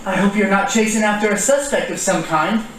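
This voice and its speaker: High-voiced courteous male professor played by an actor. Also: fairly quick, fairly bright tone. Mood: genial, polite. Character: George Angel, courteous, inquisitive male professor of languages. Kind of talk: stage radio-drama acting. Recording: echoey distant stage, faint noise.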